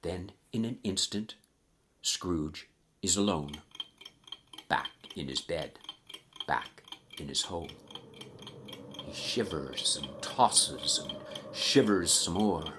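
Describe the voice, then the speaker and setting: A man narrating a rhyming story theatrically, with dramatic pauses and hushed emphasis. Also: low voice, animated, noisy background.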